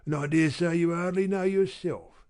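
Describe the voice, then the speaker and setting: A man's voice: on the high side, fairly even intonation, moderate pace, a little mellow, clean tone, close to an everyday voice. A man voicing a surly, bitter housebreaker who growls and demands. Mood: demanding. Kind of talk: audiobook character reading.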